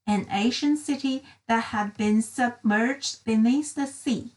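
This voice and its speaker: A woman narrating a children's storybook in an expressive, dramatic and deliberate storytelling style. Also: on the high side, far from the mic, poor audio. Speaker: read-aloud storyteller for children.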